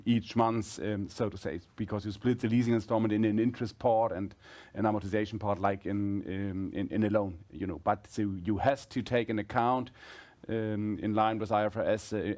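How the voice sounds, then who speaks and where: Mężczyzna, menedżer, tłumaczy z wahaniem. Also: male voice, fairly low pitch, steady tone, darkish tone. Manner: halting, rephrasing as he explains. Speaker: company executive answering analysts. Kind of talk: explanatory earnings-call answers.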